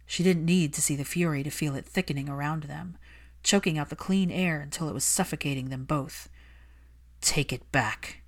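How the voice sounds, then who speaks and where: A woman's voice: sits low, fairly quick. A woman reading fiction aloud as an audiobook narrator, in a dramatic scripted style.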